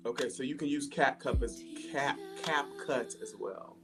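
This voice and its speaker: A woman hosting a casual conversation, talking in a lively, encouraging and admiring way. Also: extremely low pitch, moderate pace. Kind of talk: casual chat. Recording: music playing in background.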